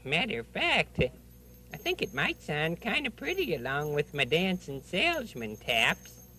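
A man's voice: on the high side, huge pitch swings, unhurried, bright, forceful tone, full character voice, poor audio. A man voicing a mild, good-natured, folksy puppet fellow in a children's show, speaking hesitantly. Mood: puzzled. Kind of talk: children's television puppet play.

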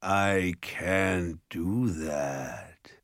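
Slow, booming, growling ogre. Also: male voice, gravelly, fairly bright tone, no echo, clean studio sound, heavily acted. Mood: cold menace. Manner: slow, drawn-out, grandiose threats. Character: huge menacing male ogre, boastful, soothing yet cruel.

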